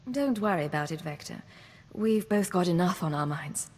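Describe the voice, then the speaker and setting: An actress playing a composed, businesslike imperial agent in command, direct and matter-of-fact, in video game dialogue. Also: female voice, lowish voice, lively intonation, moderate pace, a little mellow, long reverb tail, a little hiss or hum, close to an everyday voice. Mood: cool, curious.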